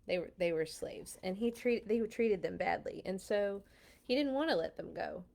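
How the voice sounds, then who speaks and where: A woman reading stories aloud to children in an expressive, playful way, with casual asides. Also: mid-range voice, normal speed, vocal fry. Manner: playful, animated in telling. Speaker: children's storyteller at a church read-along.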